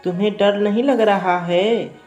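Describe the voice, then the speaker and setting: A woman's voice: medium pitch, fairly even intonation, unhurried, darkish tone, lightly acted. A woman voicing a kind, motherly crow aunt in a cartoon, speaking in a soothing, reassuring way. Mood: gentle. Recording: music playing underneath. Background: music.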